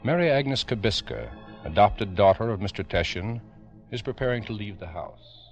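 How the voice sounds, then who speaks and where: A man giving solemn, ominous, deliberate scripted narration in the style of old-time radio drama. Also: lowish voice, sing-song, highs cut off. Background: organ music.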